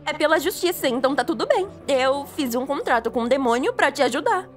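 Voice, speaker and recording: A woman's voice: high-pitched, expressive, a little fast. A Brazilian woman voicing a cheerful, self-righteous schoolgirl with an earnest, menacing streak in an anime-style fan dub. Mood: determined. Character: cheerful, self-righteous schoolgirl turned justice devil contractor. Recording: music behind the voice.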